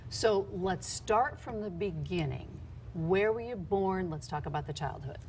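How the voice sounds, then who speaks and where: A woman hosting a TV studio interview, briskly leading her guest through his story with questions. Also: mid-range voice, expressive, darkish tone, slight background noise, poor audio. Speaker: TV interview host.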